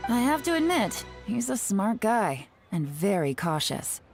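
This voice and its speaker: A woman's voice: medium pitch, very animated, measured pace. An actress playing a confident, shrewd female intelligence agent in a video game, composed and matter-of-fact. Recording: music behind the voice.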